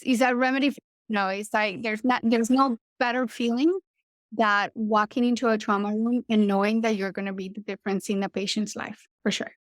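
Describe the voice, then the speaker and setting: A woman's voice: mid-range voice, no room sound, clean studio sound. A middle-aged woman from Colombia with a Colombian Spanish accent, talking as a guest in an interview, earnest and passionate, with frequent restarts. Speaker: trauma surgeon guest. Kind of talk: casual interview talk.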